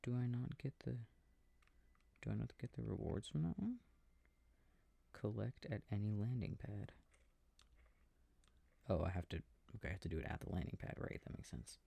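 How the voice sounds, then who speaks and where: Affectionate boyfriend, played by a man, for sleep-aid ASMR roleplay: breathy, gravelly, soft rambling murmur, very close and dry. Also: fairly low pitch, moderate pace, pauses often, no echo. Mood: absorbed, mildly puzzled. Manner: murmured, rambling, gently bemused. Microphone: close microphone.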